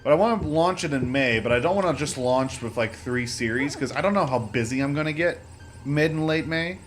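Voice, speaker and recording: A man's voice: mid-range voice, fairly quick. A man hosting a let's play with casual, rambling gameplay commentary, often interrupting himself with joking asides. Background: video game music.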